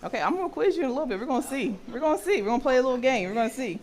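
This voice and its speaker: A woman with a deep voice for a woman, teaching a health session and often checking in with her listeners. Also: lively intonation, racing, a little mellow. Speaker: health and intimacy educator.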